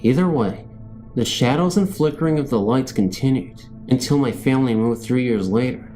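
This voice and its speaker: A man with a dark, mellow voice reads a scary story as a narrator. His pitch sits in the middle of the male range, and faint music plays behind him. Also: normal speed.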